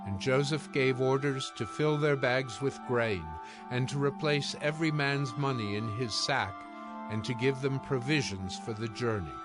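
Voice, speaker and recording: A man narrating scripture from a script in a measured, solemn manner. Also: medium pitch. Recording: music behind the voice.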